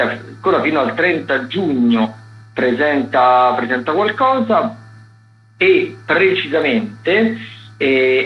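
A man hosting a radio arts rundown, loosely improvised, hesitant and wordy, often correcting himself as he goes. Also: fairly high pitch. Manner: informal, hesitant, self-correcting, wordy with hedging asides. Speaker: radio host presenting arts listings. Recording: dull lo-fi radio sound, music behind. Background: music.

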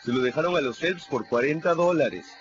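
A man's voice: fairly high pitch, a little fast, lightly acted. An actor playing a blunt, matter-of-fact man, part of a radio drama. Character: plain-spoken man, a slave catcher's hand, blunt. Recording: muffled radio audio with music.

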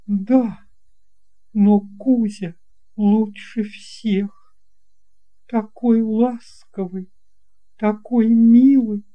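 A woman's voice: medium pitch, muffled recording, pitched above the performer's own voice. A woman voicing a frail, anxious old woman in a storybook tale, plaintive and fretful. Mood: wistful, flustered. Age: older. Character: frail anxious old woman, fretting over her lost cat.

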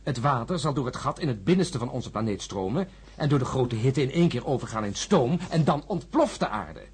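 A man's voice: mid-range voice, big pitch swings, a little fast, a little mellow, husky. An actor playing a jaded, stubborn male astronaut in a science fiction radio drama, speaking insistently and grimly certain of doom.

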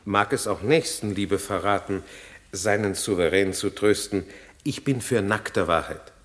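A man's voice: fairly low pitch, unhurried, natural voice. An actor playing a cynical, blunt nobleman with a sardonic manner in a German radio play. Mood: bitter, sarcastic.

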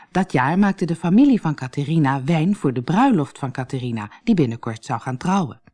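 A storyteller reading a scripted children's fairy tale in an expressive, dramatic way. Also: mid-range voice. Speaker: storyteller, audiobook narrator.

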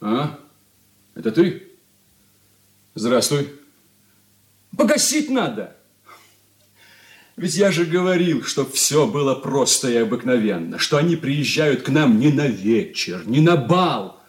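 A man's voice: mid-range voice, big pitch swings, slow pace, pauses often. An actor playing an irritable, pompous grown son who bosses his family in a 1980s Soviet radio play, in a roomy studio. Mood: irritated. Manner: peevish, haughty, emphatic.

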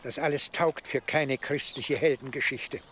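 A man playing a shrewd, authoritative archbishop who speaks in a measured way in a radio drama. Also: medium pitch, steady tone, noisy background, lightly acted. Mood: dry, decided. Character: lordly, shrewd male archbishop granting favours. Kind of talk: German radio drama acting.

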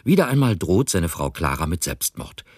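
A man with an extremely low, husky voice narrates a crime story. He reads in a measured, dramatic way, recorded close and dry. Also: sing-song, dry recording. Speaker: radio play narrator.

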